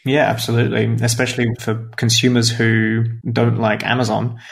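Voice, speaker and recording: A man's voice: medium pitch, flat delivery, moderate pace, vocal fry. A man hosting a podcast interview, reading an intro and then asking casual questions. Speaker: podcast host.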